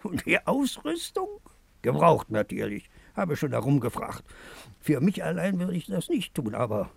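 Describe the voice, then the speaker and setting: An actor playing a pleading, wistful dreamer in a 1950s radio play. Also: male voice, a little high, wildly swooping pitch, moderate pace, creaky, husky, no echo, heavily acted. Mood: hopeful, coaxing. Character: pleading, wistful dreamer hoping for a better life.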